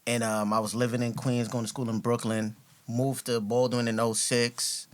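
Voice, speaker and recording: A man's voice: mid-range voice, little pitch movement, normal speed. Laid-back young man telling his story. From New York, with Ghanaian parents. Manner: laid-back, laughs often, swears freely. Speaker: guest, rapper. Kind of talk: casual storytelling interview. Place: podcast studio.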